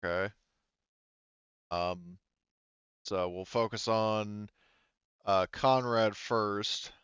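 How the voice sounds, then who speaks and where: A man running a tabletop game as game master, casually thinking aloud in a halting way. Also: on the low side, steady tone, fairly bright tone, smooth, no room sound, highs cut off.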